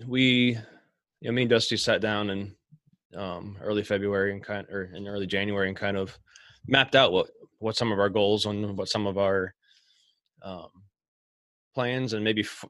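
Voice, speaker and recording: Rambling low-voiced man, dry sound. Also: a bit bright, dry recording. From Ohio, USA. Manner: rambling, many restarts and fillers. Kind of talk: casual conversation.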